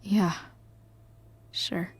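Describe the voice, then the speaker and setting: A woman playing an awkward, soft-hearted, guarded teenage girl in a video game, speaking in a hesitant, understated way. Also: extremely slow. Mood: awkward, reassuring.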